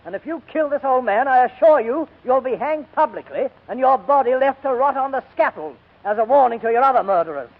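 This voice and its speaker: High-voiced, defiant young prisoner. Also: male voice, animated, a little fast, few pauses, heavily acted. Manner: theatrical, sardonic, defiant. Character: defiant, rash young male prisoner, bitterly mocking. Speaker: actor in a radio drama. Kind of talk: 1940s radio drama acting. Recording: tinny, phone-like old radio recording.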